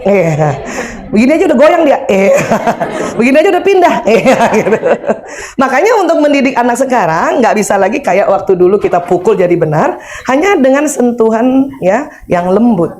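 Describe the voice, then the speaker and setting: A woman in her fifties giving a lively, humorous parenting seminar, chatting with her audience and quizzing them with questions. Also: on the high side, normal speed, a little hiss or hum. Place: seminar hall before an audience.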